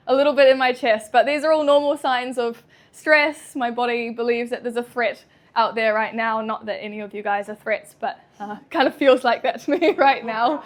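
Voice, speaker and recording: A young woman with a New Zealand accent gives a talk to an audience indoors. Her voice sits a little high, and she sounds nervous but chatty. She laughs often and fills her pauses with ums. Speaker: chiropractor giving a talk.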